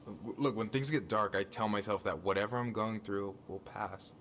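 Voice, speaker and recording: Game role: laid-back young American male friend, reassuring, slightly low pitch, faint background noise. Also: moderate pace, fairly bright tone. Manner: easygoing, colloquial, reassuring. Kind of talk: naturalistic video game dialogue.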